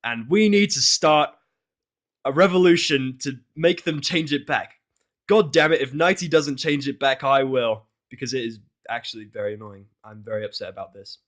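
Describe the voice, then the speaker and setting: A man's voice: medium pitch, moderate pace, fairly bright tone. A man narrating fan fiction in an informal, exasperated way, swearing and slipping into casual asides.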